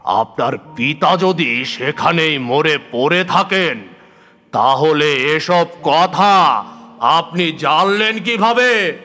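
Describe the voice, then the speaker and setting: A man tells a horror tale with slow, deliberate pacing and a sharp, forceful voice. His delivery is theatrical and suspenseful, swelling with emphasis. Long reverb trails his words, with music playing underneath. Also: fairly high pitch. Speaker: horror story narrator. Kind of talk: dramatic scripted horror narration, first-person storytelling. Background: background music.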